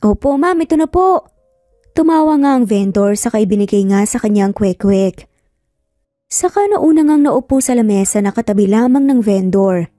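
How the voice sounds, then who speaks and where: A woman's voice: mid-range voice, expressive, moderate pace, warm tone, dry recording, studio quality, faint music behind. A woman storyteller narrating a scripted romance story.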